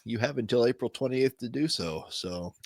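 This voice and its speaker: A man's voice: medium pitch, animated, normal speed, vocal fry, no room sound, clean studio sound. A man co-hosting a casual tech chat in an informal conversational style. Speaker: podcast co-host.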